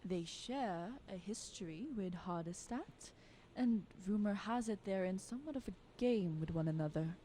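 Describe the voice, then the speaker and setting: A woman's voice: medium pitch, moderate pace, mellow, slight background noise, lightly acted. A woman playing a scheming, taunting vampire aristocrat in an audio drama, condescending, drawling and teasing. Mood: mocking, sly.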